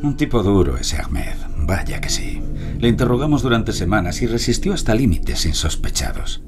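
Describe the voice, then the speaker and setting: An actor playing a composed, methodical investigator, telling and briefing in a measured, dramatic audio-drama style. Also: male voice, on the low side, sing-song, music in the background. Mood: recounting. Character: composed, methodical male investigator narrating his operation.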